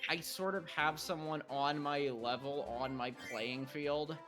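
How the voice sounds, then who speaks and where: A man's voice: fairly high pitch, steady tone, unhurried. Jokey man bantering over music. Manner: jokey, crude humour. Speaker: co-host of a story-reading show. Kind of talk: casual banter with friends.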